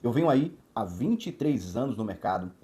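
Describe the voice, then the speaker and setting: A man's voice: medium pitch, big pitch swings, normal speed, warm tone. A man, a watch distributor telling his life story in an emphatic, motivational way, repeating words in lists and adding frequent tag questions. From Salvador, Bahia, Brazil.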